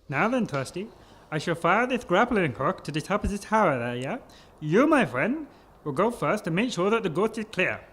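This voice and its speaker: An actor playing a bossy, short-tempered German baron villain in a comic radio play, with a German accent and a theatrical, scolding delivery. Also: male voice, sits high, sing-song, normal speed, fairly bright tone, breathy edge, exaggerated voice. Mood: scheming, bossy.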